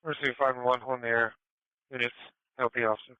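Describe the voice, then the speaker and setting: Fast, crisp, even-toned male police dispatcher. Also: medium pitch. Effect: radio transmission. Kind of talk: terse procedural radio dispatch. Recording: muffled lo-fi radio scanner.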